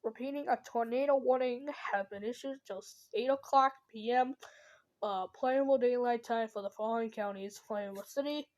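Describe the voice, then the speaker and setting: A woman with a fairly high voice reads out a mock emergency warning. Her delivery is amateur and halting, broken into short chunks as she stumbles and corrects herself. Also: darkish tone, poor audio. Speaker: amateur emergency alert reader.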